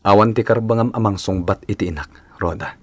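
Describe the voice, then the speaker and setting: Low-voiced, resolute husband. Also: male voice, fairly quick, husky. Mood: reproachful. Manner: firm, reproachful. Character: aggrieved, resolute husband and devoted father. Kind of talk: radio drama acting.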